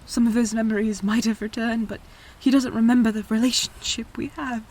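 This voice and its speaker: A woman acting in an audio drama as a tender, anguished countess and adoptive mother, speaking in a halting, hushed way. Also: on the high side, fairly even intonation, close to an everyday voice, poor audio. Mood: grieving.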